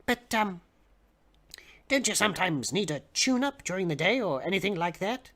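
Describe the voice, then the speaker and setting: A man's voice: high voice, very animated, fairly bright tone, heavily acted. A man voicing a nervous, hesitant male passenger in a storybook reading, stammering with a trembling voice. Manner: stammering, halting.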